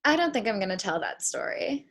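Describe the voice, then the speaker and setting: A woman's voice: medium pitch, animated, brisk, a bit bright. A woman playing a guarded, candid young woman on an awkward date in a play table read, speaking frankly and with measure.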